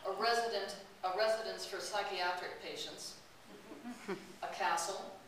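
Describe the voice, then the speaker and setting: A woman's voice: mid-range voice, steady tone, a little slow, fairly bright tone. Woman telling stories in an echoey hall. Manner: storytelling, chatty, laughs often. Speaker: speaker giving a talk. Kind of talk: personal travel talk to an audience. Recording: distant, roomy, hissy recording.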